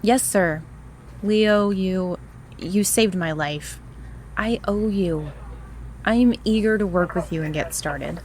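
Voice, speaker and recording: A woman playing an earnest, regretful new recruit in an audio drama, speaking sincerely with halting pauses. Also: mid-range voice, darkish tone, slight background noise. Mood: earnest, eager.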